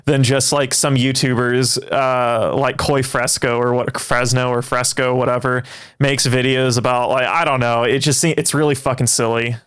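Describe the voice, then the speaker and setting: Rambling Greek-born man, smooth voice. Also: mid-range voice, flat delivery, a bit bright. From Greece. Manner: rambling, halting with many restarts. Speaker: livestream host. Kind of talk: casual livestream chat.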